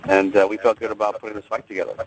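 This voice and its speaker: A man speaking as a fight promoter to the press, in a halting way, repeating phrases for emphasis. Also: lowish voice, little pitch movement, a little hiss or hum, low-quality recording. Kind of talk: answering press questions on a conference call.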